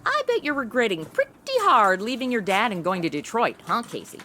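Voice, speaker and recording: A tipsy, sardonic woman played by an actress in a comedy audio drama. Her voice is high and bright with animated swings in pitch, and her delivery is blunt and flippant. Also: fairly quick, a little hiss or hum, full character voice.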